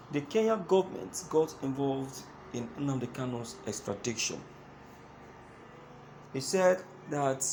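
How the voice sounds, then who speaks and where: A man's voice: medium pitch, a little mellow. A man with a Nigerian accent giving opinionated political commentary to his listeners, emphatic and repeating phrases for stress. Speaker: host of a political news commentary show. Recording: clearly audible background noise.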